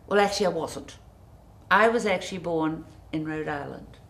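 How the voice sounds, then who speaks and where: A middle-aged woman, a design guest on a TV studio interview, speaking graciously with effusive, drawn-out emphasis. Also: low-pitched, creaky. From New Zealand. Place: TV studio set.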